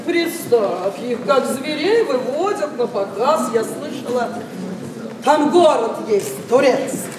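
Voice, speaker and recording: A haughty old noblewoman of sixty-five from a classic verse comedy. She grumbles bluntly and slowly, swinging widely in pitch, in a big reverberant hall. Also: lively intonation. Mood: scornful gossip. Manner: haughty, theatrical, drawn-out exclamations. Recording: echoey noisy hall, distant microphone.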